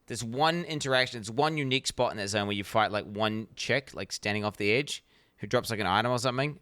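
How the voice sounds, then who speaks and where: NZ-accented male streamer, profane and rambling, crisp clear tone. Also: fairly low pitch, fast talker, pushed and bright, no room sound. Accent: New Zealand. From New Zealand. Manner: blunt, profane, rambling with many restarts. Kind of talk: casual reaction stream commentary.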